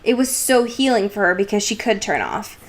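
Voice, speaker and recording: A woman's voice: medium pitch, expressive, fairly quick. A young woman co-hosting a podcast, recapping a book casually in a slangy, irreverent, sarcastic way. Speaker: podcast co-host.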